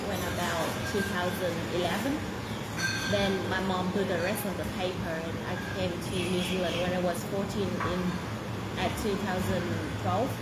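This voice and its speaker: A woman in her twenties, born in Vietnam, a job applicant telling her work history in halting speech with many fillers and restarts. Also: lowish voice. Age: 20s. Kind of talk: interview answers. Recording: echoey room, loud background noise.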